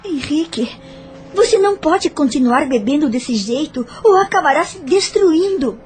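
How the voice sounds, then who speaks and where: An actress plays a devoted, insistent woman in an audio drama. Her voice sits high, and she pleads and presses her case. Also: animated, moderate pace, faint music behind. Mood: insistent pleading. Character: insistent, devoted woman pursuing a grieving widower.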